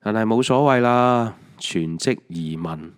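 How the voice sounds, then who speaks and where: A man's voice: on the low side, animated, unhurried, smooth, no echo, clean studio sound. A man with a Hong Kong accent, narrating a scripted autobiographical audio drama in a reflective, wry storytelling manner. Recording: music under the voice. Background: background music.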